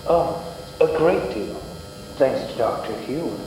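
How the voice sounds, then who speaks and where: A man's voice: mid-range voice, animated, measured pace, warm tone. Young man as earnest space hero, very echoey. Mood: admiring. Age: young adult. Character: earnest heroic male space adventurer, loyal and polite. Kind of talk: old-time radio serial acting. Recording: very echoey hall, distant, faint hum.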